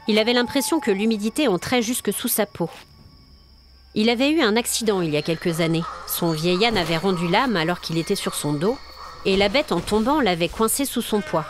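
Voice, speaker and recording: A woman storyteller reading scripted narration for a dramatic audio tale. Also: mid-range voice.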